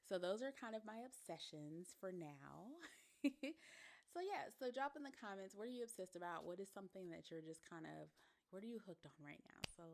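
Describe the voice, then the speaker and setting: A woman's voice: mid-range voice, expressive, normal speed, gravelly, darkish tone. A woman with a Southern US accent hosting a casual solo podcast, talking in an informal, slangy, storytelling way. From Mississippi, US South. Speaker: podcast host and coach.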